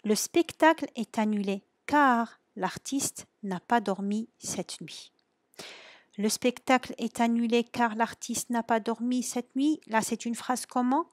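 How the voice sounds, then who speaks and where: A woman's voice: on the high side, no room sound. A woman teaching a French grammar lesson. She asks her students questions and answers them herself, stressing the key words. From Algeria.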